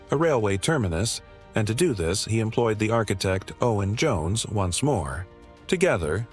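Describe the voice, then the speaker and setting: Low-voiced male documentary narrator over music. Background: background music.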